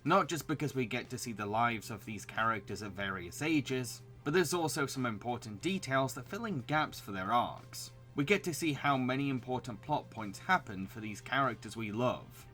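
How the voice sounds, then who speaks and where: A man's voice: medium pitch, bright, forceful tone. A man reading scripted narration as a video reviewer.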